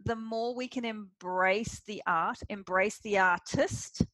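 A woman hosting a casual interview chat, talking in an animated, chatty way with many fillers. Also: medium pitch, slow, no echo. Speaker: podcast host.

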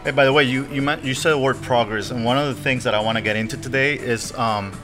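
A man hosting a podcast in casual chat, halting and repeating his words. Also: medium pitch, fairly even intonation. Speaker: podcast host. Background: music.

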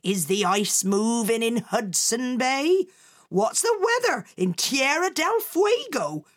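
A woman voicing a bold old pirate mother who talks loudly in a high, whooping voice. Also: very animated, takes it slowly, heavily acted. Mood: dreamy.

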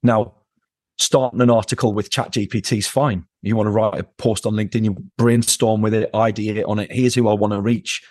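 A man with a fairly low voice talks as a podcast guest, leaning hard on repeated words to drive his points home. The sound is close and dry, with no room echo. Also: steady tone, a little fast, a little mellow. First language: English. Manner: emphatic, repeats words for stress. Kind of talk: conversational interview.

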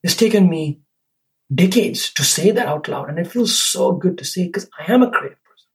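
A man's voice: high-pitched, lively intonation, normal speed, rough recording. Man from Sri Lanka, podcast guest, thoughtful and rambling. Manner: reflective, rambling, tags with "right?". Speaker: podcast guest, business owner. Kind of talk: casual conversation.

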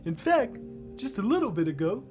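A woman playing a childlike, lonely and eager records clerk in an audio drama, with a giggly, halting, naive delivery. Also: mid-range voice, big pitch swings, darkish tone, soft background music, lightly acted. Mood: hesitant, earnest.

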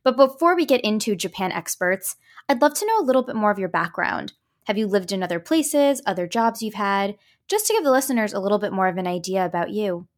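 An upbeat woman hosts a podcast interview, gushing with praise and eager questions. Her voice sits at an ordinary middle pitch for a woman, recorded on a podcast microphone in a remote call. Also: animated, brisk. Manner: upbeat, gushing, many "I love that". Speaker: podcast host.

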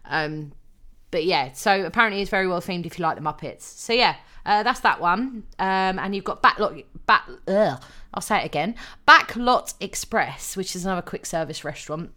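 A woman's voice: fairly low pitch, animated, normal speed, sharp tone. A young woman co-hosting a podcast, chatting casually with many ums and frequent laughs. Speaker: podcast co-host. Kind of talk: casual chat.

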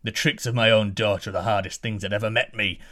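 A man voicing a huge, menacing male giant with a low, slow, booming delivery. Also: fairly even intonation, fairly bright tone, lightly acted. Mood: frustrated.

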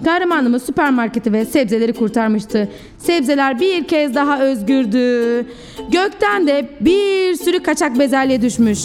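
A woman with a high voice narrates a children's storybook. She sounds like a preschool teacher, expressive and theatrical, addressing young listeners.

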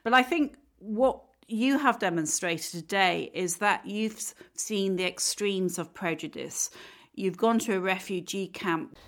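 A woman hosts a podcast and steers the conversation with inquisitive questions. Her voice sits at a middle pitch. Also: unhurried. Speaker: podcast host. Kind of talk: interview questions.

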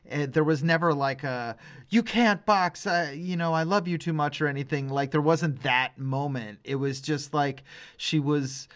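A man's voice: on the high side, unhurried, a bit bright. A man co-hosting a podcast, discussing film casually, making his points emphatically and explaining as he goes. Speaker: podcast co-host.

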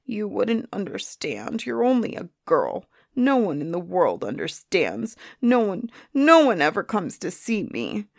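A woman voicing a lonely, self-pitying five-headed male griffin in a storybook tale, slow and resentful. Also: medium pitch, sing-song, creaky, no room sound, clean studio sound, heavily acted.

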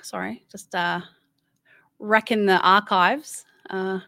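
A woman's voice: medium pitch, fairly even intonation, slow pace, vocal fry, bright, forceful tone, no echo. An Australian woman in her thirties, a guest chatting casually and candidly, rambling with many restarts. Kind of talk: casual interview chat.

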